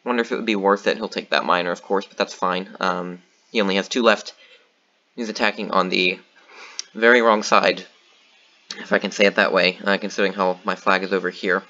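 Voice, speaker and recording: A man's voice: sits low, a little fast, muffled recording. A man giving casual commentary on his own board game match, thinking aloud with lots of ums and uhs. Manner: thinking aloud, relaxed, many fillers.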